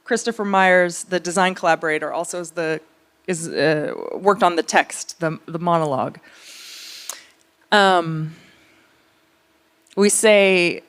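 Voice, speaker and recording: A woman speaking conversationally as an artist at a public talk, telling stories in a reflective, searching way, pausing and circling back. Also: fairly low pitch. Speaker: theater artist speaking on a panel.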